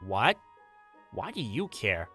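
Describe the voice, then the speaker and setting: A man's voice: fairly low pitch, huge pitch swings, slow, sharp tone, slightly rough, soft background music, exaggerated voice. A man playing an aloof, blunt but kind teenage boy gamer in a manga dub, with an offhand delivery. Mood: irritated. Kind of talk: manga dub acting with scripted first-person narration.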